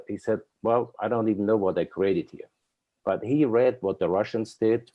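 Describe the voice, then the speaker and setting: An Austrian man in his fifties tells his story as an interview guest. He speaks in an earnest, rambling way, with frequent fillers and an Austrian German accent. His voice is low, mellow and warm. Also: dull audio. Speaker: guest, company owner.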